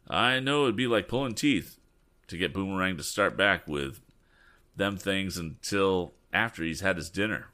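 A man's voice: low voice, lively intonation, moderate pace, pushed and bright, clean tone. A man voicing a folksy, apologetic, stubborn mule driver from a storybook, with a hesitant, rambling dialect.

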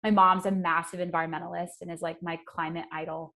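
A woman's voice: fairly low pitch, flat delivery, fast, sharp tone. A young American woman giving earnest, conversational interview answers as an activist guest, explaining her points and voicing imagined thoughts.

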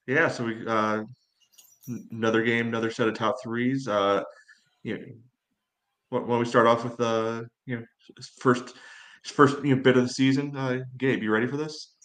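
A man co-hosting a casual sports podcast, with halting, filler-heavy phrasing. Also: mid-range voice, fairly even intonation, a bit bright. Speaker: podcast host.

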